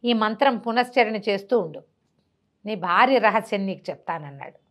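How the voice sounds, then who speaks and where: Woman playing a secretive, hushed old Brahmin man. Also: medium pitch, expressive, moderate pace, lightly acted. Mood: confiding, instructing.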